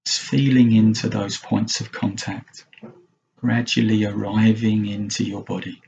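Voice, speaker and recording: A man leading a guided mindfulness meditation as a teacher, speaking gently and unhurriedly in short phrases with long pauses. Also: lowish voice, flat delivery, creaky, dull audio, slight background noise.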